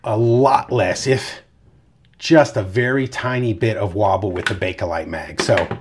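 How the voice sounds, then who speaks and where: A man's voice: on the low side, a little slow, background noise. A man with a congested voice from a cold, hosting his channel and chatting loosely through a product review. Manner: loose and chatty, with fillers and asides. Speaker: firearms reviewer, channel host.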